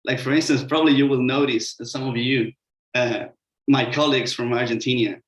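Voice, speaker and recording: A man's voice: mid-range voice, steady tone, a little fast. A man from Mexico with a Mexican accent, a Spanish speaker giving a hesitant, filler-heavy talk as a panelist in an online webinar. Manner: hesitant, many "uh" fillers, explanatory. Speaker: webinar panelist, sustainable construction consultant. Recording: echoey room.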